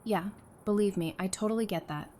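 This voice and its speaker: A woman's voice: medium pitch, a little fast, mellow, natural voice. A woman acting a caring, blunt wife and mother with casual, wry banter in an audio drama. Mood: sympathetic. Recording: noticeable background noise.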